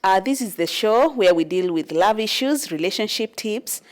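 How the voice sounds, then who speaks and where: A woman's voice: mid-range voice, animated, moderate pace. A woman hosting a talk show, earnestly introducing her guest and asking questions. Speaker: host.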